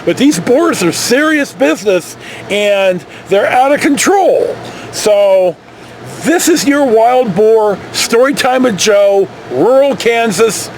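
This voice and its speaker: Male American vlog storyteller, lively intonation, high register, folksy and digressive. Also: slow, a little hiss or hum, low-quality recording. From California. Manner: folksy, mildly profane, digressive. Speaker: storytelling vlogger. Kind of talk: casual rambling anecdote.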